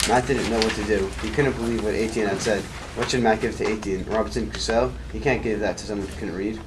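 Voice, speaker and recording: A man's voice: lowish voice, steady tone, quick pace. A man narrating an amateur puppet show, reading hesitantly with frequent restarts. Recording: noisy amateur recording.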